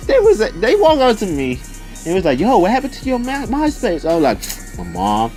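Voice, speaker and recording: A young man telling a personal story casually, in an animated, slangy way, acting out the conversations as he goes. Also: mid-range voice. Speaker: video storyteller.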